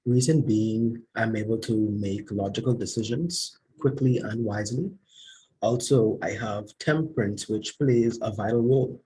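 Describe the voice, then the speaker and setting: A man's voice: lowish voice, unhurried, warm tone, husky, poor audio. A young man, a student panelist, giving a formal, orderly, prepared answer.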